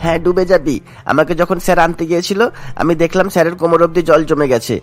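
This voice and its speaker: Man as a fast-talking anxious little schoolboy. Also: on the high side, faint music behind, natural voice. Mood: gloomy. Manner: pleading, childlike.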